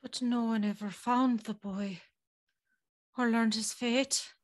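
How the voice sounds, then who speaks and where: A woman's voice: fairly high pitch, steady tone, slow. A woman playing an anxious, curious young servant girl who questions hesitantly, in a gothic audio drama. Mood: uneasy curiosity.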